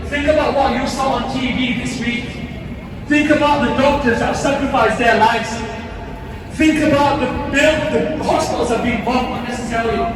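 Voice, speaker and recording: A man with a husky voice giving a forceful, exhorting speech at a cold outdoor rally. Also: very high-pitched. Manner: forceful, exhorting, repeated appeals. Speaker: rally speaker and chant leader. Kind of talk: rousing protest speech. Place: outdoor street rally in winter. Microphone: camera in the crowd, at a distance. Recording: echoey, distant, with loud crowd and street noise.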